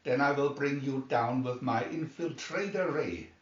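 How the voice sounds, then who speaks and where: A man's voice: mid-range voice, unhurried, close to an everyday voice. A man voicing a gloating, scheming male villain in a storybook reading, with theatrical menace. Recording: dull, roomy, distant microphone.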